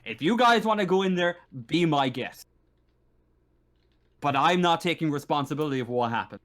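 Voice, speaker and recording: A man's voice: fairly high pitch, animated, moderate pace, fairly bright tone, pitched above the performer's own voice. A man voicing a stern, impatient space-station marshal in a tabletop game, swearing and stumbling over words in exasperation. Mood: exasperated, defensive.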